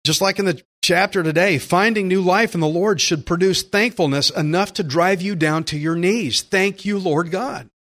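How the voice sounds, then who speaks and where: A man preaching a sermon, earnest and emphatic, slowing down to stress key phrases. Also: high-pitched, fairly bright tone. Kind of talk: sermon with scripture readings.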